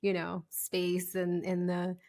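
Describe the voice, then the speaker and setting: A woman's voice: lowish voice, completely flat delivery, slow, darkish tone. A woman with an American accent hosting a casual interview in an informal, enthusiastic way. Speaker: podcast host, interviewer.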